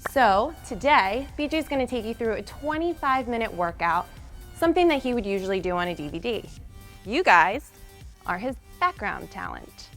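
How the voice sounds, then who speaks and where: A woman's voice: on the high side, a bit bright. A woman hosting a TV fitness competition, reading scripted lines in an upbeat, announcing way that builds suspense. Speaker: competition show host.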